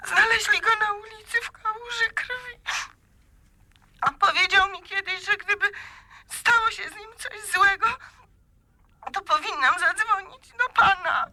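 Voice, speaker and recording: Very high, shaken, distraught woman. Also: pushed and bright, slight background noise, full character voice. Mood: distraught, tearful. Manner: shaken, anxious, halting. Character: distraught sister of a badly beaten man. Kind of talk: Polish radio crime play acting.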